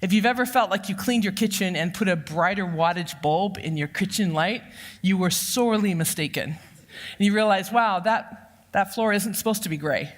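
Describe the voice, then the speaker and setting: Loud-voiced woman preaching in a reverberant church. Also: medium pitch, moderate pace. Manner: lively, humorous, anecdotal. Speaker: church counseling director giving the sermon. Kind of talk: personal storytelling sermon. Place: church sanctuary. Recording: long reverb of a church hall.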